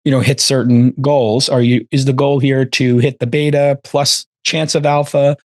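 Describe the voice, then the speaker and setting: A man with a raspy voice hosting a podcast in a casual, chatty way. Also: mid-range voice, level tone, normal speed, darkish tone, dry recording, studio quality. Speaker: podcast host.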